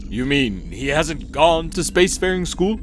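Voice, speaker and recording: Pompous prissy alien space captain, bored and vain, voice swooping all over, comedy radio play. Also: male voice, fairly high pitch, big pitch swings, measured pace, faint music behind. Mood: fussy, imperious. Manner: pompous, languid, fussy. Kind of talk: comedic audio drama acting.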